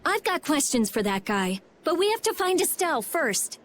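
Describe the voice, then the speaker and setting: Very high, insistent teenage girl. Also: big pitch swings, normal speed, fairly bright tone, a little hiss or hum. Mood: urgent. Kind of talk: English game dub acting.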